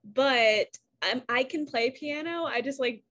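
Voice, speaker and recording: A woman with a dark, rich tone, talking casually and modestly as an interviewed singer, often saying "like". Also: sits high, measured pace. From Southeast Texas, US. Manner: informal, self-deprecating, frequent "like". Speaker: singer and pianist in a sister duo.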